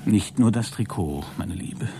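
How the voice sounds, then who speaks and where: Pompous, smug astronaut, animated and mellow. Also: male voice, lowish voice, a little slow, a little hiss or hum. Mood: smug, teasing. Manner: pompous, grandiloquent, wheedling. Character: smug, lecherous, delusionally upbeat male astronaut Walter. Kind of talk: satirical science-fiction radio drama acting.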